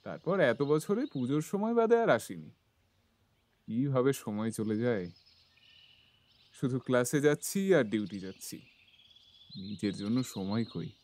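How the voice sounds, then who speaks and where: An actor playing a mild, polite young city doctor in an audio story, speaking in a gentle, conversational way. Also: male voice, mid-range voice, very animated, a little slow, pauses often. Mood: casual, reflective.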